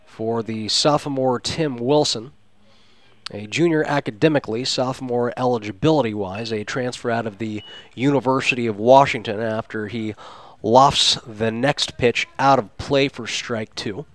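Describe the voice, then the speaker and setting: A man's voice: medium pitch, moderate pace, a bit bright, no room sound. Male radio baseball announcer, close dry sound. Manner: descriptive, flowery turns of phrase. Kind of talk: live sports radio commentary.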